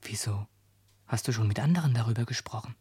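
Secretive questioner: very husky murmur, dry close sound, cautious, radio drama. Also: female voice, rumbling low, fast, dry recording, full character voice. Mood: hushed, probing. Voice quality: breathy, hushed. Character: low-voiced, cautious, secretive confidante with probing questions. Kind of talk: German radio play acting.

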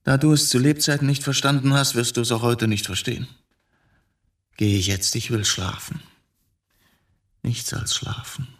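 An actor in a radio drama playing a brooding middle-aged journalist who talks evasively, philosophically and with bitterness. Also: male voice, mid-range voice, steady tone, moderate pace, pauses often, fairly bright tone, natural voice. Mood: bitter, reproachful. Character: brooding middle-aged journalist confessing to killing his wife.

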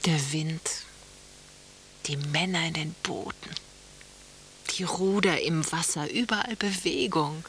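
A teasing, dreamy wife in a radio conversation piece. Her voice sits fairly low and she talks in short poetic phrases. There is a slight hiss on the recording. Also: slow pace, pauses often, a bit bright, heavily acted. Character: dreamy, teasing wife watching the water, needling her husband. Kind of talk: German radio play acting. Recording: faint tape hiss.

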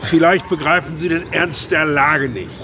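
A man's voice: on the high side, steady tone, normal speed, background noise, close to an everyday voice. A man playing an officious village policeman. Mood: formal. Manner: formal, officious, stiff.

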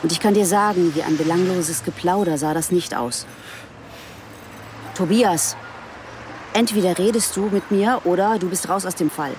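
An actress plays a female detective around forty in a radio drama. She is probing and confrontational, with a fairly low voice. Noticeable ambient noise runs behind her. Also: normal speed, pauses often, close to an everyday voice. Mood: stern. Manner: confrontational, insistent questioning.

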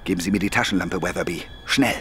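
An actor playing a commanding police officer giving urgent orders in a radio play. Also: male voice, low voice, quick pace, background noise, close to an everyday voice.

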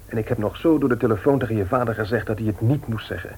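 An actor playing a worried, insistent brother-in-law with a very husky voice in a radio play. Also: male voice, fairly low pitch, racing, darkish tone. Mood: disappointed.

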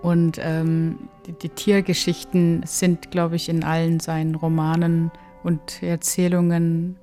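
A woman from Kyrgyzstan, giving halting interview answers as an expert. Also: fairly low pitch, monotone, warm tone, soft background music. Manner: halting, hesitant delivery. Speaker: interviewed expert, legal anthropologist.

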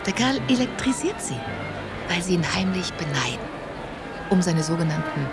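A woman's voice: on the low side, big pitch swings, moderate pace, over loud music. An actress playing a knowing, amused woman in a radio play, teasing and confidential as she gossips.

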